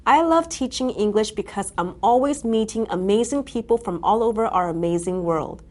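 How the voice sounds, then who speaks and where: A woman who grew up in the United States, an English teacher presenting a scripted grammar lesson in an upbeat, clearly enunciated way. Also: medium pitch, expressive, normal speed, darkish tone.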